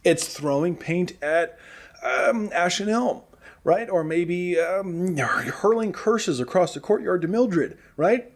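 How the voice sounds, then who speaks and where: An earnest, affable morning radio host in a wasteland comedy drama, played by a man. His fairly high voice moves around a lot in pitch as he rambles along, hesitating and gently teasing. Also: expressive, takes it slowly. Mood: teasing, chatty. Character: affable, earnest male radio host in post-apocalyptic town.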